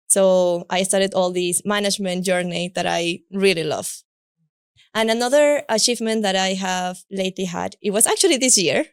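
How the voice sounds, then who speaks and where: A woman's voice: mid-range voice, smooth, no echo, studio quality. A woman from Peru with a Peruvian Spanish accent, telling upbeat stories as a guest in a casual podcast conversation. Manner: upbeat, warm storytelling with laughs. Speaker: guest, head of solutions design.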